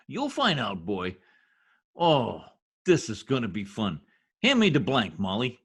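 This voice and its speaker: A man's voice: medium pitch, huge pitch swings, slow, husky, exaggerated voice. A man acting in an old-time radio comedy as a needling, boastful husband with folksy, mangled grammar and a teasing manner. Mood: smug, gloating. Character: gleeful needling blowhard husband, bungling new census taker. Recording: remote video-call radio play recording.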